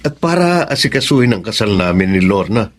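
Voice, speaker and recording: A man acting a kindly, generous cousin in a Tagalog radio drama, gentle, earnest and polite. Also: on the low side, sing-song, a little fast. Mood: earnest, confiding. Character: kindly, generous cousin returned from America, reassuring and respectful.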